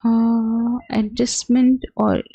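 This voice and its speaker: A woman giving an unscripted software tutorial as a trainer, explaining step by step in a halting, hesitant way. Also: fairly high pitch, flat delivery, creaky, breathy edge, dry recording. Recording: dull, lo-fi, dry close sound.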